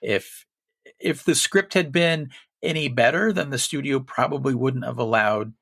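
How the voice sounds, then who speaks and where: A man co-hosting a casual film podcast, rambling with frequent restarts and animated bursts. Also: mid-range voice, normal speed, creaky, no echo. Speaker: podcast co-host.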